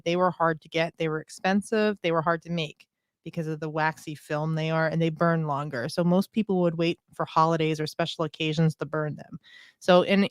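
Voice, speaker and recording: A woman's voice: low voice, dry recording, studio quality. Chatty woman explaining folk customs. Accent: Pennsylvania Coal Region. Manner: explains folklore at length, chatty. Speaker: podcast co-host. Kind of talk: casual podcast chat.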